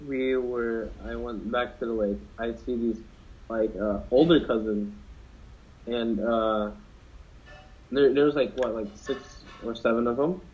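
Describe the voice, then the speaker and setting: A man's voice: medium pitch, fairly even intonation, a little slow, darkish tone, soft background music. A young man casually telling a story to friends on a podcast, rambling with many fillers and restarts. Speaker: podcast co-host. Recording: dull lo-fi podcast audio.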